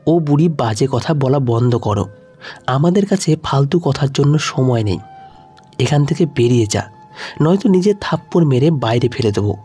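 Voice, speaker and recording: A man's voice: medium pitch, lively intonation, normal speed, mellow, husky, soft background music. A man voicing an arrogant, short-tempered male shop owner in a story, furious and bullying.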